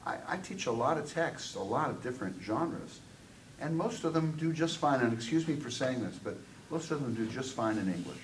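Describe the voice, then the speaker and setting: A man in the audience of a seminar, commenting in a discursive, deliberate way with many asides. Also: mid-range voice, sing-song. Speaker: audience member, college tutor. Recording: distant mic, noisy room.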